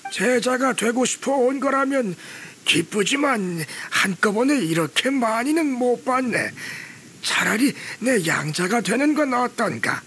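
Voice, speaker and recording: Old carpenter grandpa from a game, really high pitched, blunt n folksy, bit of noise behind. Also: male voice, a bit bright, exaggerated voice. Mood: jovial, teasing. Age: older. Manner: folksy elder's speech, blunt, teasing. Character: old male village carpenter, gruff and good-humored. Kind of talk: video game character acting.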